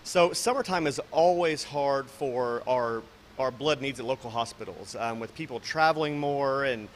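A man's voice: mid-range voice, moderate pace, slight background noise. A man, answering interview questions in a large indoor mall space, earnest and promotional. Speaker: blood drive spokesman.

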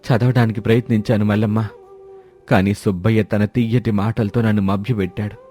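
A man's voice: fairly low pitch, fairly even intonation, fairly quick, mellow, natural voice. A man playing a naive village farmer and husband in a moral story, gloomy and resigned as he laments. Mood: regretful. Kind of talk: dramatized Telugu moral story.

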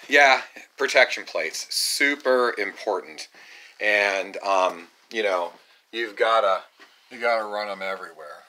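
A man's voice: low-pitched, bright, forceful tone. A man filming his tiny home build with a handheld phone, explaining things in a plain-spoken way with many ums. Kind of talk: casual how-to vlog. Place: unfinished wooden tiny home under construction, indoors. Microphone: handheld phone camera, filming himself off-screen.

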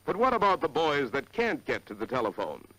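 A man's voice: on the high side, very animated, fairly quick, fairly bright tone, poor audio. A man with an American accent narrating a 1940s educational film in an earnest, polished, formal way on a vintage soundtrack.

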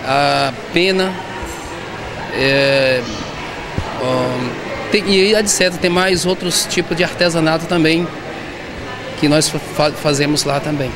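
Halting man talking in a busy mall. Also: a little high. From southern Bahia, Brazil. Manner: halting, repeats and restarts words. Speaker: artisan interviewee. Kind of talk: street interview. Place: indoor shopping mall. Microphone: handheld reporter mic. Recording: noisy mall background.

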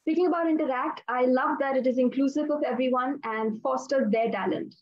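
Young female panelist, high pitch, measured and articulate, dry lo-fi call sound. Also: dry recording. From India. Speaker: youth panelist. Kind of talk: prepared panel answers. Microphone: video call. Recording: dry, slightly dull call audio.

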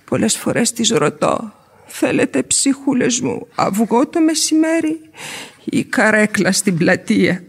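A high-pitched older widow pours out long, plaintive grievances about keeping up appearances. She is garrulous and genteel-poor, in theatrical radio drama style. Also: big pitch swings, breathy edge, exaggerated voice. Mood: aggrieved lamenting. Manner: plaintive, long-winded lamenting. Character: garrulous complaining impoverished widowed mother of three daughters. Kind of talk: radio theatre acting in Greek.

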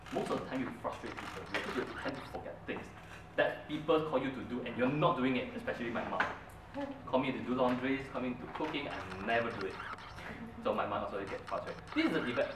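A young man whose first language is Mandarin tells his story with a lively, rising-and-falling voice. He is heard from a distance in a roomy meeting hall, with a steady hiss of room noise behind him. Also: medium pitch. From Brunei. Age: young adult. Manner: earnest storytelling, with an animated, emphatic delivery. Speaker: club speaker. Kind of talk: prepared speech. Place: meeting room, medium size, indoors. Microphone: far camera.

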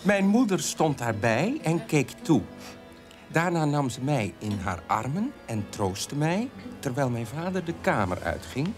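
Grown child of strict father, ironic, halting, swooping pitch, radio drama, music behind. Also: big pitch swings, a little mellow. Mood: hurt, ironic. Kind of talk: animated radio drama storytelling.

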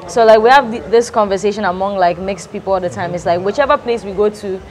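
A young woman from Ghana, a YouTuber, chatting casually as an interview guest and saying "like" a lot. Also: mid-range voice. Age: young adult. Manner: chatty, lots of "like", quick agreeing. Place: indoor studio set.

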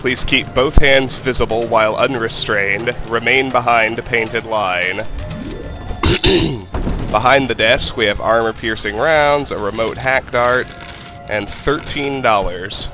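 A man hosting a let's play, calmly talking through the game and reading its text aloud, his voice a little weak from a cold. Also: medium pitch, slow pace. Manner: calm, methodical, reads in-game text aloud. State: has a cold, voice slightly weak. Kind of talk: casual gameplay commentary. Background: game music.

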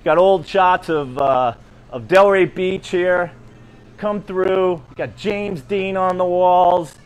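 A man's voice: high voice, takes it slowly, clean tone, poor audio. A middle-aged man hosting a casual food vlog in a diner, talking enthusiastically in short bursts through a face covering. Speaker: restaurant review host. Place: inside a diner, moving through rooms.

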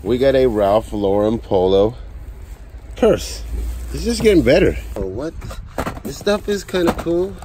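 A man in his forties, chatty and excited, talking to viewers while unboxing outdoors beside storage units. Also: mid-range voice, a little slow, pauses often. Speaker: storage auction reseller. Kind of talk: casual unboxing vlog. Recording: outdoor noise behind voice.

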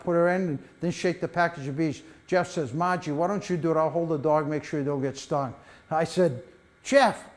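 An older man giving a beekeeping talk to a library audience in a meeting room, telling animated stories and acting out quotes as he demonstrates hive gear. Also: a little high. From United States. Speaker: beekeeping presenter. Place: library meeting room, mid-sized, indoors.

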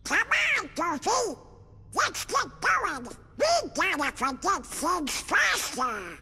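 A man voicing an impatient, excitable cartoon duck with squawky, slurred, quacking speech in a video game. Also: very high-pitched, takes it slowly, exaggerated voice. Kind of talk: cartoon character acting in a game.

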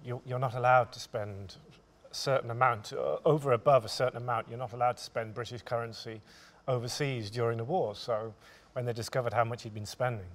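A man in his forties, a writer speaking thoughtfully and in halting, measured phrases on a discussion panel. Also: mid-range voice, steady tone. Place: theatre stage, indoors.

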